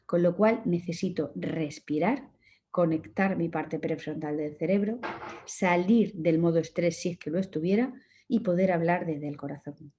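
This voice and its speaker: A woman with a Murcian Spanish accent lectures in a low, mellow voice, steady and instructive, giving direct advice to listeners. The audio sounds slightly muffled, as from an online session. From Murcia, Spain. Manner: calm, instructive, gives direct advice in imperatives. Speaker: communication course instructor. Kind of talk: online lecture to course participants.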